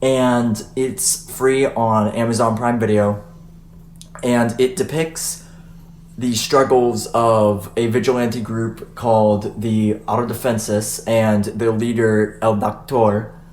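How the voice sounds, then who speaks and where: Young guy hosting a podcast, deep-ish voice, faint hiss in the room. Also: little pitch movement, slow, a little mellow. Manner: loose, chatty, many fillers and restarts. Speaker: podcast host. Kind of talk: casual podcast chat. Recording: echoey room, faint noise.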